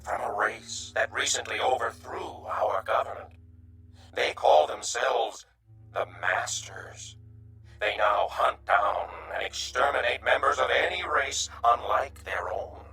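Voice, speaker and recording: A man's voice: mid-range voice, slow pace, sharp tone, reverberant, soft background music, rough recording. A man playing a timid, courteous alien refugee in a radio drama, speaking in stilted, formal, hesitant phrases. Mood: grave.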